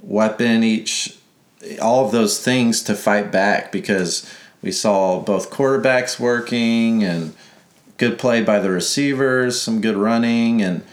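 Rambling low-voiced man from Mississippi. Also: slow pace. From Mississippi, United States. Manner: rambling, self-correcting, playful. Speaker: podcast co-host. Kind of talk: casual sports chat. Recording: echoey room.

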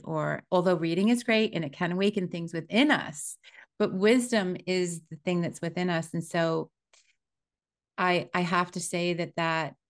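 A woman hosts an interview podcast in a fairly low voice. She is encouraging and affirming, and often murmurs her agreement. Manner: warm, affirming, frequent murmured assent. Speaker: podcast host. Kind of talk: casual interview.

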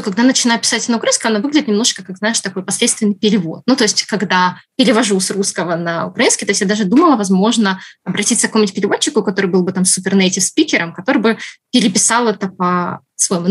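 A woman talks easily on a podcast, with a slightly husky voice at an ordinary pitch for her sex. She laughs often and starts over mid-sentence, circling back to her point. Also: quick pace. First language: Russian. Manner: chatty, laughs often, many restarts and fillers. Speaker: podcast guest, writer and journalist. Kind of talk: casual podcast chat.

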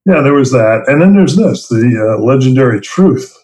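A middle-aged man from New Jersey speaks at a mid pitch, telling his stories in a loose, rambling way. He laughs often and pokes fun at himself. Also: animated, moderate pace, a little mellow. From Princeton, New Jersey, US. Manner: rambling, chuckling, self-deprecating. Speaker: interview guest, theme park event writer and director. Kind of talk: casual storytelling interview.

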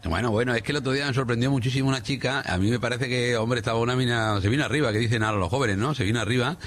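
A man's voice: mid-range voice, racing, fairly bright tone. A man with a Spanish accent from Spain chatting casually and humorously on the radio, rambling, repeating himself and checking for agreement. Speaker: radio show contributor.

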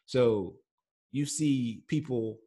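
A man's voice: mid-range voice, extremely slow, warm tone. A man from Nigeria whose first language is Igbo, telling his story as a guest in an earnest, easygoing way and laughing between points. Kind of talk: casual interview talk.

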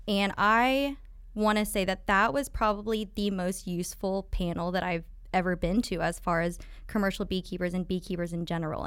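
A woman's voice: mid-range voice, clean tone, no echo, clean studio sound. A woman from Kansas co-hosting a podcast, upbeat and teasing, introducing guests. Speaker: podcast co-host. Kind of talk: casual podcast chat.

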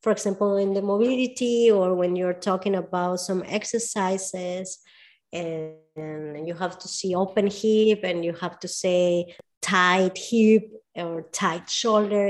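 A woman's voice: fairly low pitch, darkish tone. Thoughtful woman with a Spanish accent. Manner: searching for words, thoughtful, earnest. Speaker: movement coach as guest. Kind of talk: casual interview talk.

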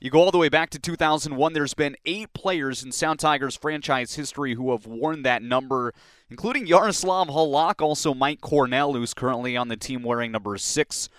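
Bright, crisp-voiced sports podcast host. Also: male voice, medium pitch, no echo. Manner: upbeat, quick, chatty with frequent restarts. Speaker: podcast host and play-by-play broadcaster. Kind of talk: conversational sports podcast interview.

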